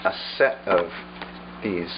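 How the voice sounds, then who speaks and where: Low-voiced man demonstrating an old radio. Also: muffled recording, noisy background. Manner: unhurried, thinking aloud with fillers. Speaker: vlogger showing a vintage radio. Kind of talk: casual show-and-tell demonstration.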